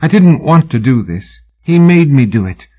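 A man's voice: a little high, very animated, moderate pace, breathy edge, narrow, tinny audio, pitched above the performer's own voice. A man reading an audiobook, voicing a frightened young British woman who pleads desperately and breathlessly. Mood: pleading. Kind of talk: audiobook character voice.

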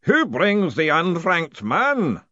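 A man voicing a pompous unseen lodge master in an audiobook, booming and intoning ritual lines slowly and solemnly. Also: big pitch swings, pushed and bright, no echo, muffled recording, exaggerated voice. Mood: solemn, commanding.

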